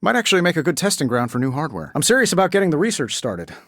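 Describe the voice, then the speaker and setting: A man's voice: fairly high pitch, big pitch swings, very fast, a bit bright, dry recording, studio quality. A male actor voicing a cocky, wisecracking billionaire superhero inventor for a video game, with an American accent and a sarcastic, glib delivery. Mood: uneasy.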